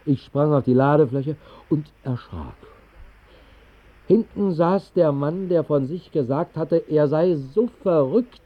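An actor playing a whimsical night-owl who narrates an absurd dream in a radio play, with ornate, mock-solemn phrasing. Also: male voice, on the high side, takes it slowly. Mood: wry, deliberate. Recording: muffled, thin 1960s radio recording.

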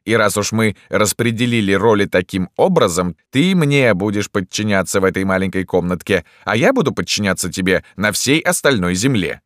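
A man voicing a bossy, grumbling old doctor with a creaky, grating voice in an audiobook. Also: lowish voice, animated, moderate pace, few pauses, fairly bright tone, no room sound, clean studio sound, pitched above the performer's own voice. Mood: commanding. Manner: grumbling, authoritative. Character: cranky, bossy old male doctor, gnomish and grey-bearded.

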